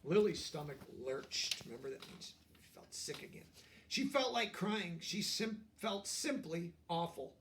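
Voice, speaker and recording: A man reads a picture book for young children. His voice is very creaky and a touch high for a man. He is expressive and drops into simple explanatory asides as he goes. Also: a little slow, a little hiss or hum. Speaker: storybook reader.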